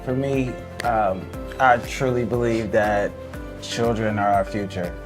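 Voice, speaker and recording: A man's voice: fairly low pitch, steady tone, takes it slowly, music in the background. A man from the Indianapolis area working at a school, answering sincerely and plainly. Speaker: school staff member.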